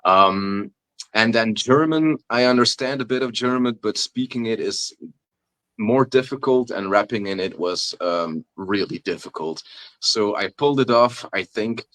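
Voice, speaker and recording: A man's voice: lowish voice, a bit bright, no echo. A Flemish man from Belgium whose first language is Dutch, a rapper guest speaking casually in an interview with many fillers and restarts. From Belgium (Flanders). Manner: halting, many fillers and restarts, laughs often.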